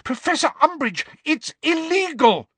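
Reader playing teenage schoolgirl, storybook heroine, very high pitch, shrill, pleading, gulping, tearful. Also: animated, a little slow, fairly bright tone, no room sound. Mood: alarmed.

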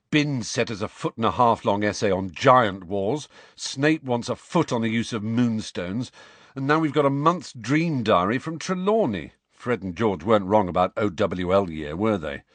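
A put-upon teenage schoolboy voiced by a man for an audiobook. He is plain-spoken and grumbling, with lively swings in pitch, recorded close and dry. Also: normal speed, dry recording, exaggerated voice.

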